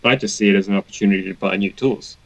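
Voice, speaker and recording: A man's voice: on the low side, steady tone, very fast, vocal fry, a bit bright, no room sound. A man in his thirties from New Zealand, co-hosting a podcast and explaining things in a matter-of-fact way. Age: 30s. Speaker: podcast co-host. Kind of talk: casual chat. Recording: dull, dry sound.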